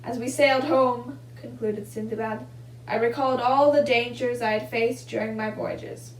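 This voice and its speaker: A woman's voice: fairly high pitch, steady tone, measured pace, a little hiss or hum, poor audio. A young woman reading a children's story and giving simple craft instructions. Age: young adult. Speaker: volunteer storybook reader and craft demonstrator.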